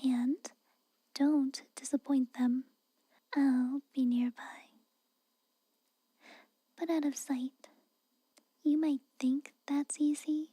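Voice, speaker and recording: Woman acting a whispering, high-voiced tsundere maid. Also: no echo, heavily acted. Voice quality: soft, breathy whisper. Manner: hesitant, halting, grudging, cautioning. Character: tsundere castle maid, guarded, haughty, secretly caring. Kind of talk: whispered ASMR roleplay. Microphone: close microphone.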